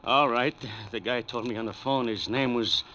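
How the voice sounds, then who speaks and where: A man acts out a big hired gunman from a 1940s radio crime drama, forceful and sharp-edged. He talks tough but rattles easily, stammering under pressure. The recording is muffled, with some noise. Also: medium pitch, normal speed, lightly acted. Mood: rattled, defensive. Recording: muffled, noisy old radio broadcast recording.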